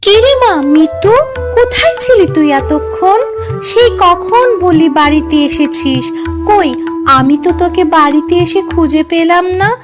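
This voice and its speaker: A woman's voice: very high voice, a little slow. A woman voicing a stern but caring mother fox in a children's cartoon, fretful and reproachful. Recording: faint music behind.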